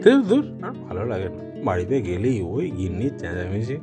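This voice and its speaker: A man's voice: lowish voice, wildly swooping pitch, a little fast, over loud music. A man voicing a grumbling, scheming cartoon street cook. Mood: irritated. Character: scheming grumpy male biryani seller. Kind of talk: Bengali cartoon dubbing.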